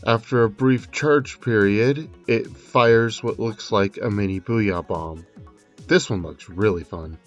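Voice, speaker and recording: A man's voice: fairly low pitch, takes it slowly, highs cut off. A man delivering scripted video game analysis commentary with informal, conversational asides. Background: music under the voice.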